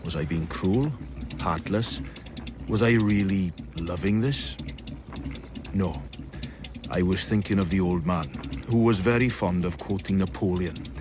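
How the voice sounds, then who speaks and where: A jaded doctor tells his story in a low voice. The delivery is wry and measured, in radio drama style, over clearly audible background noise. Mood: wry, reflective. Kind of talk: radio drama first-person narration.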